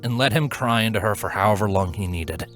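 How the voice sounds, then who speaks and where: A man narrating scripted fan fiction in an earnest, dramatic storytelling manner with deliberate pauses. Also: on the low side, a bit bright, faint music behind.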